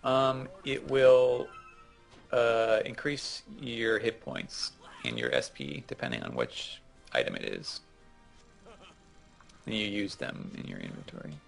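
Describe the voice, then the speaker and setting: A man with a fairly low voice talks casually as a gaming co-host. He explains and advises in a hesitant, conversational way. Some music sits in the background. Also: animated, slow pace, gravelly. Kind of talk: casual chat, game advice.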